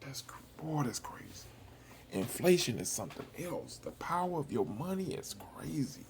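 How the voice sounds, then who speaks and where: A man's voice: fairly high pitch, sing-song, moderate pace, a little mellow, a little hiss or hum. A man giving casual commentary to his viewers, musing aloud and repeating himself for emphasis. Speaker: video host. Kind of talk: casual chat commentary over old footage.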